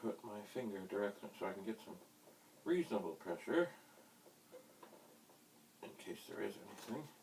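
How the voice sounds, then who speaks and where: A middle-aged man giving an unscripted hands-on demonstration, speaking in deliberate, broken phrases with quaint, slightly theatrical wording, recorded on a distant mic. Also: lowish voice, big pitch swings, creaky, darkish tone. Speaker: vintage computer hobbyist presenting a how-to vlog. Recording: distant mic, faint background hiss.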